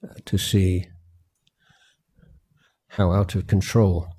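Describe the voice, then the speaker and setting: A man guiding a meditation as a teacher, speaking gently and in a measured way with long pauses. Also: sits low, little pitch movement, breathy edge. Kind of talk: guided meditation talk at a retreat.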